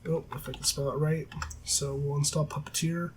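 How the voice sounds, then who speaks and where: A man with a cold-roughened raspy voice, teaching a coding tutorial over a screen recording with many filler sounds and self-corrections. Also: fairly high pitch, flat delivery, normal speed, slight background noise. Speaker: programming tutor. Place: at a desk, voice-over of screen capture. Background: faint keyboard typing.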